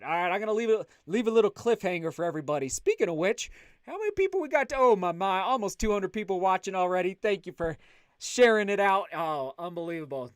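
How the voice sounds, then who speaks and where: A man hosting a casual livestream, chatting upbeat and loud while reading out viewer comments. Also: high-pitched, clear, resonant tone, no room sound, studio quality. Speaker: livestream host.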